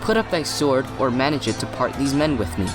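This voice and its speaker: A man's voice: medium pitch, expressive, brisk, over music, lightly acted. An actor playing an earnest young nobleman keeping the peace in a Shakespearean audio play, urgent and pleading.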